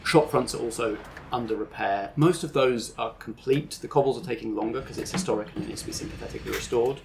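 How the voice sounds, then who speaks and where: A man's voice: mid-range voice, fast, creaky, noisy background. A man hosting a roleplay podcast and narrating as game master. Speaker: game master and show host.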